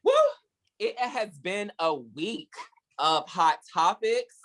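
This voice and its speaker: A young man hosting a casual podcast chat, animated, laughing often and swearing playfully. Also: sits high, takes it slowly. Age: young adult. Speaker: podcast host.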